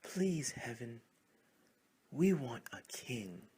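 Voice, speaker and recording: A man voicing excitable, emphatic frogs in a storybook tale. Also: medium pitch, very slow, breathy edge, heavily acted. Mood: pleading. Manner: exclamatory, emphatic. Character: excitable frogs of a pond, pleading and shouting. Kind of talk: storybook character voices.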